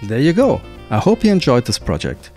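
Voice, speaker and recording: Man, German accent, woodworking voice-over, calm step-by-step, animated intonation. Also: mid-range voice, brisk, soft background music. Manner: calm, plain step-by-step explaining. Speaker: woodworking channel host. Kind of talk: scripted voice-over narration of a craft project.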